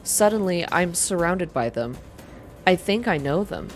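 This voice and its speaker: A woman's voice: lowish voice, animated, unhurried, soft background music. A woman hosting a reading channel, speaking in an ASMR style for her listeners. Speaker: video game reader and channel host. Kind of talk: ASMR narrated reading and casual updates to viewers.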